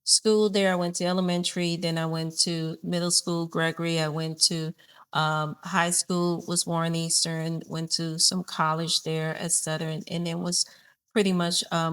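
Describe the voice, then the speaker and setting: A woman tells her story in an earnest, steady way. Her voice sits fairly low. The sound is close and dry. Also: normal speed, clear, resonant tone, no echo. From born in Honduras, raised in New Orleans. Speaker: podcast guest, AI consultant. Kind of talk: casual interview answers.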